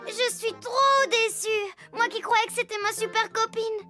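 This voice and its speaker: A woman playing a little girl who tells animal stories in a children's cartoon, expressive and childlike. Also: very high-pitched, unhurried, full character voice. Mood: sulky. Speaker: storyteller. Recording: voice over children's music.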